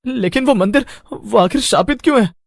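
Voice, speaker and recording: A man playing a frightened, bewildered traveller lost at night in an animated horror story, anxious and hesitant. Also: extremely high pitch, normal speed, gravelly, no echo, clean studio sound, full character voice.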